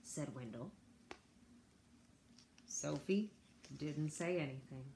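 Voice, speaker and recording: A woman teacher reading a picture book aloud to children, expressive, with chatty asides to her young listeners. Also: low voice, slow pace, creaky, a little hiss or hum.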